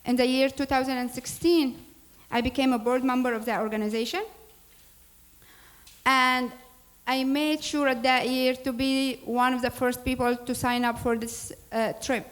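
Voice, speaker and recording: Fairly high-voiced woman. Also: steady tone, normal speed. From Syria. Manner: earnest, with small grammar slips and restarts. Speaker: board president. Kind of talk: speech introducing a program. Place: church hall.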